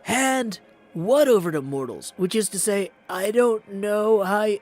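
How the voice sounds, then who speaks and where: A man's voice: high-pitched, unhurried, a little mellow, faint music behind, voice pushed up. A man voicing an earnest, perplexed young goddess in a video game, speaking formally and questioningly. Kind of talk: video game character practice.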